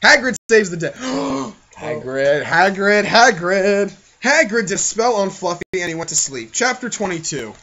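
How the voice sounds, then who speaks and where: A man's voice: high voice, sing-song, a little slow, few pauses, pushed and bright. A giggly, self-mocking, foul-mouthed man hosting a podcast, reading his own childhood fan fiction aloud with casual banter. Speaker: podcast host. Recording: muffled, noisy home podcast recording.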